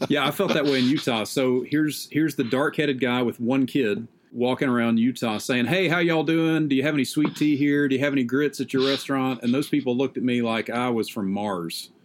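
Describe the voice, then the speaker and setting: A man with a Southern drawl talks easily over a video call, spinning stories and acting out the other voices in them. His pitch is middling for a man and his tone stays relaxed. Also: fairly quick, few pauses, a little hiss or hum. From South Carolina, US. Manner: chatty storyteller, acts out quoted exchanges. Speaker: seminary professor. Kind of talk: casual conversation.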